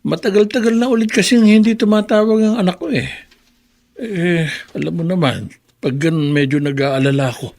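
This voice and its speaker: A gentle old grandfather, full of folksy superstition and quiet worry. His voice sits a little high as he chats in this radio drama. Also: normal speed. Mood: worried. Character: worried elderly grandfather, superstitious and kind.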